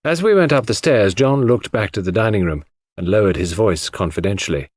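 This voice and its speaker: A man's voice: lowish voice, expressive, fairly quick, no room sound, clean studio sound. A man reading scripted audiobook narration as the narrator of a story.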